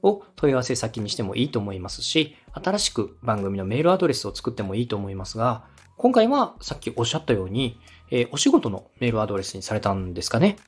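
A man's voice: medium pitch, very animated, quick pace, hardly any pauses, husky. A man hosting a podcast, giving feedback in a polite, encouraging way. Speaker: podcast host and adviser. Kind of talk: friendly podcast coaching talk.

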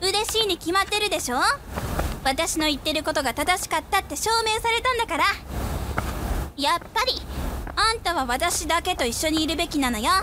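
Haughty, very high-voiced schoolgirl. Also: expressive, brisk. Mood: gloating, possessive. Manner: taunting, haughty. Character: bossy, clingy, haughty small teenage schoolgirl. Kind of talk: Japanese radio drama acting. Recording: noisy street ambience with traffic.

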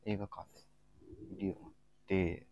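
A man's voice: deep voice, level tone, normal speed, a little mellow, lo-fi sound. A man co-hosting a casual livestream, introducing topics in a polite, hesitant way. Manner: polite, hesitant with frequent fillers. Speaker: co-host of a group chat livestream.

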